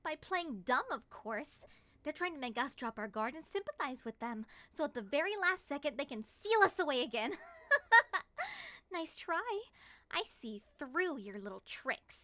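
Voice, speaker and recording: A woman voicing an excitable, suspicious moth monster woman with theatrical, expressive delivery in an ASMR roleplay. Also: high-pitched, moderate pace, no room sound. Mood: suspicious, agitated.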